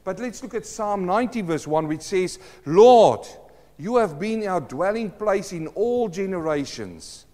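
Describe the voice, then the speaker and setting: A middle-aged man preaches earnestly in a church hall. His is Afrikaans, and his voice sits a little high for a man. The hall's long reverb trails after his words. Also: lively intonation, measured pace, mellow. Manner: earnest, addresses listeners as friends, asks rhetorical questions. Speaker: pastor. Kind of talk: sermon.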